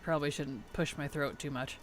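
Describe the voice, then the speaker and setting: A woman game streamer chatting casually and playfully on a livestream, her tired voice starting to weaken and turn gravelly. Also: deep voice, fairly even intonation, a little fast. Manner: playful, teasing, chuckles often. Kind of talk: casual livestream chat over gameplay.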